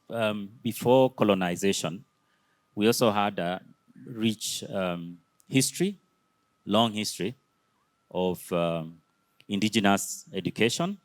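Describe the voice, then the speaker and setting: A man's voice: lowish voice, no room sound. A man from Kenya speaking on an education panel. He talks hesitantly, with many "um" and "uh" fillers between phrases. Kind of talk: conference panel talk.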